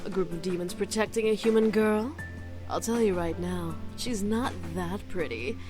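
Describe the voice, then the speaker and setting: Seductive succubus acted by a woman, taunting, menacing, theatrical, drawn-out teasing, music underneath. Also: medium pitch, lightly acted. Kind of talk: visual novel voice acting.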